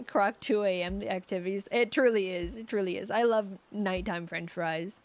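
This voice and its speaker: A woman's voice: mid-range voice, measured pace, no echo. Scratchy-voiced young woman chatting. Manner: rambling, giggly, lots of fillers and restarts. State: voice getting scratchy. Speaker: streamer. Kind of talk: casual chat with live chat.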